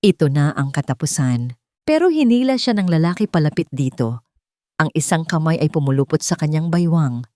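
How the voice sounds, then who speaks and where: Low-voiced woman telling a dramatic story. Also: lively intonation, a little fast, warm tone, no echo, studio quality. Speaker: narrator of romance audio stories. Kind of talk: dramatic scripted story narration.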